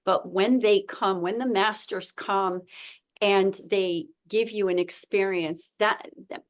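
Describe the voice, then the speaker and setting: A woman with a mid-range voice speaks in an earnest, conversational way. She pauses often and restarts her sentences as she finds her words. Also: mid-range voice, fairly even intonation. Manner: earnest, with frequent fillers and restarts. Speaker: spiritual tour host. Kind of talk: casual conversation.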